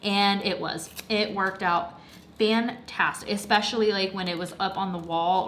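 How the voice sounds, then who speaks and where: A woman's voice: lowish voice, fairly bright tone. Congested young woman rambling about crafts at home, chatty, lots of ums, bit of hiss behind. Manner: chatty and rambling, with many fillers. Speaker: craft vlogger. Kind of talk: casual chat.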